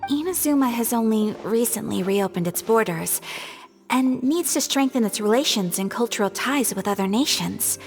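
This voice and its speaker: An actress playing a graceful, polite young noblewoman in game dialogue. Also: fairly high pitch. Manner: gentle, refined, earnest. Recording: reverberant with music behind.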